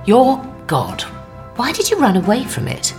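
An actress playing a hard-nosed, wry interrogator in an audio drama, questioning in a dry, insistent way. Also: female voice, fairly high pitch, wildly swooping pitch, a little slow, darkish tone, faint music behind. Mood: cool, probing.

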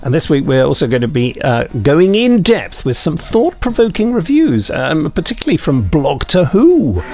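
A man's voice: a little high, sing-song, normal speed, darkish tone. A British man with a bit of a cold, co-hosting a podcast in casual, dryly self-deprecating banter. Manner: self-deprecating, dry humour. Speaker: podcast co-host. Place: garden shed, door open. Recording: music bed under the voice.

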